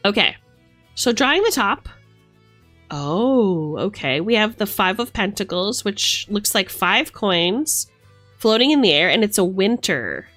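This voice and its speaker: A woman's voice: mid-range voice, big pitch swings, a little slow, a bit bright, soft background music. A woman livestreamer chatting casually and affectionately, thinking aloud in a playful way as she reads tarot cards.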